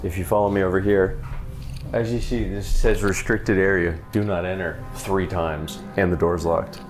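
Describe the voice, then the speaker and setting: A man's voice: sits low, steady tone, normal speed, soft background music. A man investigating an old building at night, joking and calling out to spirits. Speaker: paranormal investigator. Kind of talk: ghost hunting show narration.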